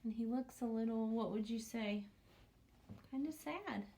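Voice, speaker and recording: A woman with a fairly high, mellow voice reads a picture book to young students. She takes her time, speaking as a teacher who adds little asides and questions, often in a hushed tone. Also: measured pace. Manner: often drops into a hushed near-whisper; asks the listening children questions. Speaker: teacher reading aloud to students. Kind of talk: picture-book read-aloud with commentary for young children.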